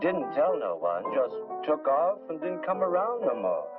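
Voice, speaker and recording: An actor playing a giggly hipster jazz musician in a 1950s film, drawling in chuckly beatnik slang. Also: male voice, a little high, sing-song, normal speed. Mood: loose, sly. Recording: tinny old film soundtrack, loud music.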